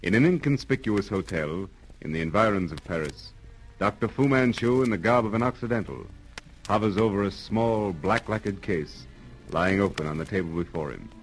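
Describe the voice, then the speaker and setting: A man narrating a 1930s radio serial from a script, with formal, dramatic, deliberate phrasing. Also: on the low side, low-quality recording. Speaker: announcer and narrator. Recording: vintage radio recording with music behind. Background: music.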